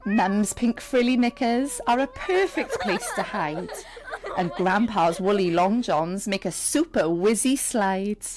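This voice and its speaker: A woman reading a picture book aloud to young children, expressive and speaking straight to her listeners. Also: medium pitch, takes it slowly. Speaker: storyteller and teacher reading to children. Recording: music under the voice. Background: music and sound effects.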